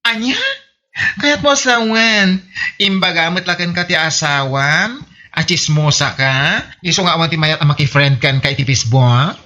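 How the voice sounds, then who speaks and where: An actor with an Ilocano accent playing a teasing, sceptical husband in a radio comedy, mocking and exclamatory. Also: male voice, on the high side, moderate pace, sharp tone. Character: teasing, sceptical husband scolding his gossipy wife.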